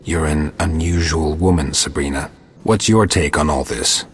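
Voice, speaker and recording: Very deep, stoic monster hunter. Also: male voice, moderate pace, slightly rough. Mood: measured, stoic. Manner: terse, restrained delivery. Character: calm, reasonable male monster hunter, stoic and measured. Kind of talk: video game voice acting.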